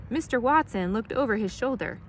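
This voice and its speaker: A woman's voice: on the high side, lively intonation, brisk, a little hiss or hum. A woman reading a children's storybook aloud as a storytime reader.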